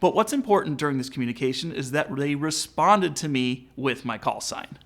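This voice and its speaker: Guy with a slightly high voice narrating a how-to, informal, explains stuff like a pilot teaching. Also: animated, normal speed, fairly bright tone, a little hiss or hum. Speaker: pilot and flight instructor presenter. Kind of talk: instructional narration.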